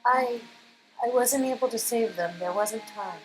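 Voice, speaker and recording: A woman reads an earnest female companion from a game, calm and matter-of-fact. Her voice is high and her delivery plain and measured. Music sits behind the voice. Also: close to an everyday voice, rough recording. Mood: calm, grave.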